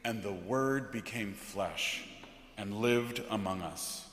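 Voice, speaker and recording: A man, a pastor, speaking solemnly and deliberately from a church pulpit in a liturgical setting. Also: lowish voice, a bit bright. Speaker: Lutheran pastor. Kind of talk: liturgical scripture reading. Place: large church interior, from the pulpit. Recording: echoey church with long reverb.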